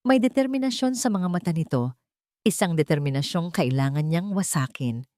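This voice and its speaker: Low-voiced Filipino woman narrating drama. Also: animated, moderate pace, darkish tone, no echo, studio quality. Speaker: story narrator. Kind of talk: dramatic scripted romance narration.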